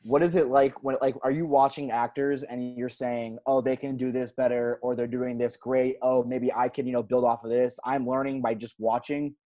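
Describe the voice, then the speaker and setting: A man hosting a relaxed conversation, circling around his questions and repeating words as he goes. His voice sits at an ordinary pitch. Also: poor audio. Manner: halting, repeats words while asking questions. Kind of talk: casual chat.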